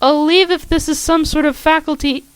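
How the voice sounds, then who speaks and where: A woman's voice: sits high, fairly even intonation, normal speed, no room sound, pitched above the performer's own voice, natural voice. A woman voicing a nervous young female student who calls out with uneasy bravado. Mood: cautious.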